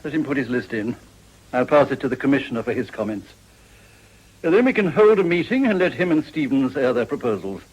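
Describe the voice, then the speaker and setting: An actor playing a weary older government minister in a period radio drama, brisk and understated. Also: male voice, medium pitch, sing-song, a little mellow, breathy edge, poor audio. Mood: weary, businesslike.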